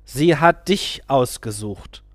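A man voicing a sneering, menacing old male guru who talks slowly and drops to whispers. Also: mid-range voice, expressive, dry recording. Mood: whispering. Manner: slow, mocking, whispered urgings.

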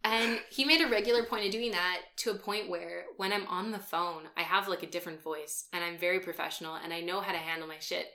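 Bright-voiced young woman chatting casually. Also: lowish voice, steady tone, fast, few pauses. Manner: chatty storyteller, many fillers and laughs. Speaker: podcast co-host. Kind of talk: casual chat.

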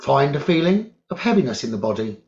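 A man leading a guided meditation as a teacher, giving calm, deliberate instructions in short phrases with long pauses. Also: a little high. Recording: dull, lo-fi sound.

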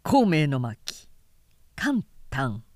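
A woman reading a historical novel as a scripted audiobook, with measured, dramatic storytelling. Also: deep voice, very animated, a little mellow, no echo, clean studio sound. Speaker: narrator, literary reader.

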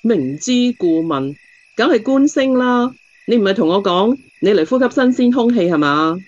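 A woman's voice: medium pitch, expressive, moderate pace, natural voice. Actress as blunt Hong Kong stargazer woman, slightly muffled. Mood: mocking, blunt. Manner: blunt, sharp-tongued, teasing. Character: blunt, aloof young woman stargazer called "big sister". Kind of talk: Cantonese radio drama acting.

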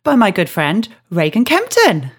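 A woman's voice: medium pitch, wildly swooping pitch, a little slow, husky. A woman co-hosting a podcast, chatting frankly and mocking herself with easy humor. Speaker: podcast co-host. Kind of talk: casual chat.

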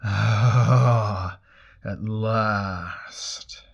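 A man's voice: on the low side, steady tone, extremely slow, vocal fry, breathy edge, exaggerated voice. A man voicing a huge, ancient burning god in a fantasy story, hungry and imperious, with solemn archaic proclamations. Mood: looming, eager. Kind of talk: dramatic fantasy audiobook character voice.

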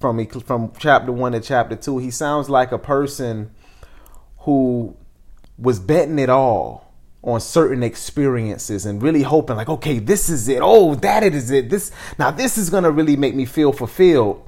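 A man in his thirties hosting a reflective interview, speaking in an earnest, deliberate way. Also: mid-range voice, animated, darkish tone. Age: 30s. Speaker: podcast host.

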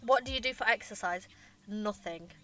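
A woman, asking questions and chatting casually with friends on a podcast, often laughing and trailing off quietly. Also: medium pitch, moderate pace. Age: 20s. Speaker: podcast co-host reading questions. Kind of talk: casual chat among friends.

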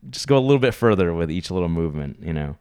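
A man's voice: low-pitched, big pitch swings, racing, a little mellow, clean tone. A man co-hosting a podcast, talking casually and rambling with lots of fillers. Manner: rambling, trailing off, many fillers. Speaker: podcast co-host.

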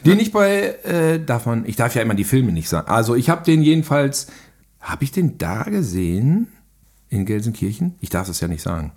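A man's voice: medium pitch, big pitch swings, normal speed, darkish tone. A man talking casually and enthusiastically as a guest in a film chat. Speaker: podcast guest.

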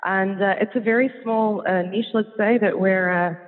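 A man's voice: high voice, steady tone, moderate pace. A man with a New Zealand accent, chatting as a podcast guest, laughing often and restarting his sentences. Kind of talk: casual interview talk. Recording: phone-like line with long reverb.

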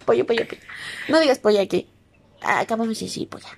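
A woman's voice: a little high, very animated, quick pace. A young woman with a Mexican accent co-hosting a casual podcast, playful, giggly and slangy as she chats. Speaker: podcast co-host. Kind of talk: casual, joking podcast chat.

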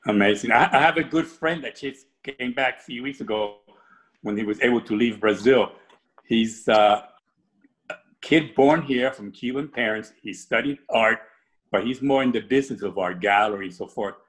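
A man's voice: mid-range voice, normal speed, a bit bright, poor audio. A middle-aged man from Cuba, an artist answering interview questions in a casual, rambling way with many restarts.

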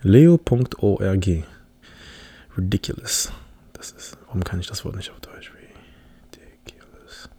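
A man's voice: sits low, expressive, measured pace, long pauses, dark tone, breathy edge, in a whisper. A man from the Philippines hosting a casual solo podcast, telling a story in a rambling, self-mocking way with many restarts. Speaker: podcast host.

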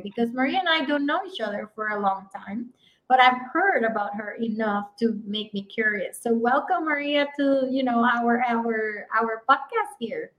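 A woman hosting a podcast with a fairly high voice. She introduces her guest with eager enthusiasm, her pitch leaping up as she welcomes listeners. Her talk is warm-hearted and chatty. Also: low-quality recording. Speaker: podcast host. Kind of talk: podcast interview.

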